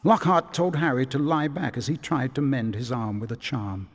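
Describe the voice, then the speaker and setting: A man acts as a storyteller for a fantasy game. His mid-pitched voice reads measured scripted narration with long reverb. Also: natural voice. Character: storybook narrator of schoolboy wizard tale.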